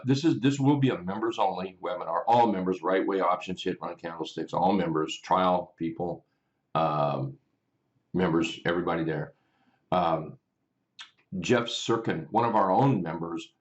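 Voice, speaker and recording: A man hosting a live stock market chart session, chatty and rambling, correcting himself often, with a raspy morning voice. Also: low voice, a little mellow, lo-fi sound. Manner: chatty, rambling, self-correcting, greets viewers by name. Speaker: stock market livestream host. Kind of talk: casual live chart commentary.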